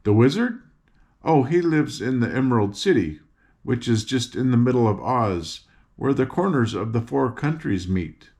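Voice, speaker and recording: A man's voice: mid-range voice, lively intonation, normal speed, a little mellow, lightly acted. An older man voicing a cheerful young farm girl who patiently explains things as she guides her friends. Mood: chatty.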